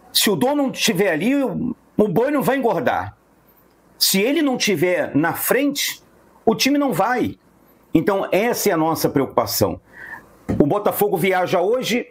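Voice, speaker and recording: Brazilian male football fan, high swooping pitch, husky, indignant and emphatic rant delivery.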